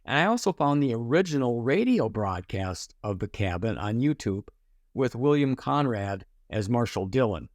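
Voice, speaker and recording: A man's voice: medium pitch, big pitch swings, moderate pace, no echo. A man giving a scripted, storytelling narration for a television review video.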